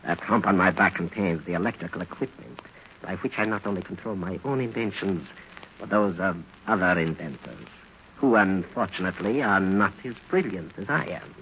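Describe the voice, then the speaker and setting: An actor playing a boastful, mock-courteous mad inventor villain in an old radio thriller. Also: male voice, deep voice, moderate pace. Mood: smug, boasting. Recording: hissy, muffled old radio recording.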